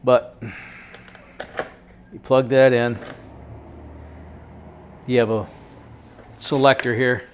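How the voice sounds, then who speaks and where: A man's voice: mid-range voice, flat delivery, drawn-out words, long pauses, slight background noise. A middle-aged American man chatting casually through a product review in a folksy, jokey, storytelling way. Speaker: host of a product review video.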